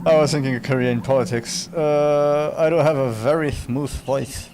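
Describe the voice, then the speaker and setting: A man's voice: medium pitch, fairly even intonation, moderate pace, darkish tone. Joking man chatting over a game. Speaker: gameplay commentator. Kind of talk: casual let's play chat. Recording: game music playing behind.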